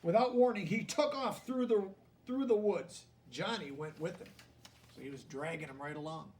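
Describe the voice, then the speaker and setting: A man reading a children's picture book aloud, deliberately, with simple explanatory asides in plain words. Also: high voice, a little mellow, far from the mic, a little hiss or hum. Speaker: storybook reader.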